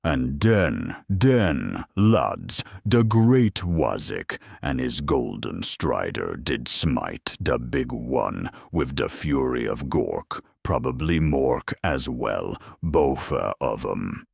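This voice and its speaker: A man voicing a boastful ork warrior in an audio story, rough and gravelly, bombastic, with crude grammar. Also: rumbling low, very animated, slow pace, few pauses, dry recording, studio quality, heavily acted. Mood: bombastic, triumphant. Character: boastful ork warrior bellowing his own glory.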